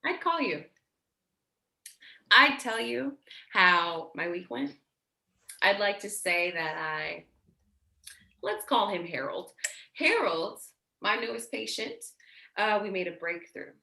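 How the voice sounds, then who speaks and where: A woman's voice: medium pitch, bright, forceful tone. A woman acting a blunt, teasing, protective young woman in a staged play reading, with casual, sweary, sardonic delivery. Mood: tender. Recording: roomy, echoey sound.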